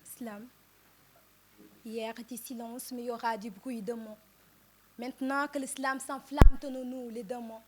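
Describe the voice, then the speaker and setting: A woman from southern Senegal with a Senegalese French accent, reciting poetry in an impassioned, emphatic manner with dramatic pauses. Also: fairly high pitch, fairly even intonation, noisy background. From Casamance, southern Senegal. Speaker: poet reciting at a poetry contest. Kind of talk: declaimed slam poetry recitation.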